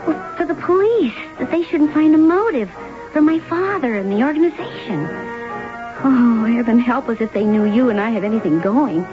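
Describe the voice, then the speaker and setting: An actress playing a cool, calculating lover and co-conspirator in a 1970s radio drama, worldly and cautioning. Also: female voice, fairly high pitch, expressive, normal speed, close to an everyday voice. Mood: firm, cautioning. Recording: dull vintage radio broadcast with music.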